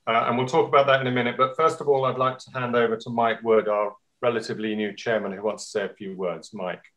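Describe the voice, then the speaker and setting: A man's voice: mid-range voice, flat delivery, fast talker, a bit bright. A man opening and introducing a meeting over a video call, chatty with uhs and restarts. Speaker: meeting host.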